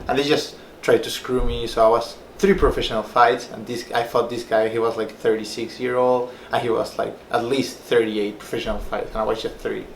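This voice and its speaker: A young man from Peru tells his story in a loose, rambling way, full of fillers. His voice sits at an ordinary pitch. The room is echoey, with a faint hum underneath. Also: level tone, brisk, creaky, hardly any pauses. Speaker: personal trainer and former fighter. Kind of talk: casual personal story to camera.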